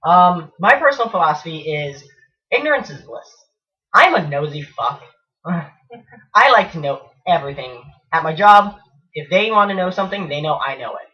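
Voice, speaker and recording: A man's voice: on the high side, lively intonation, normal speed. A young man co-hosting a casual chat show, talking frankly and mocking himself. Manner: frank, blunt and self-mocking. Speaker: co-host. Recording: distant mic, dull lo-fi sound.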